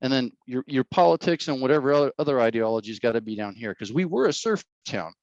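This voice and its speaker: A man's voice: medium pitch, animated, fast talker, a little mellow, dry recording, dull audio. A middle-aged man, a mayoral candidate answering interview questions in a blunt, rambling way and repeating his words.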